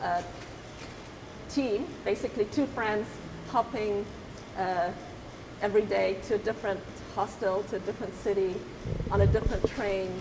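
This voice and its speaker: A middle-aged woman from Zimbabwe, an artist talking reflectively, pausing often and restarting her thoughts. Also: medium pitch, steady tone. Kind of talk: reflective conversational talk. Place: gallery. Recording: roomy hall with hiss.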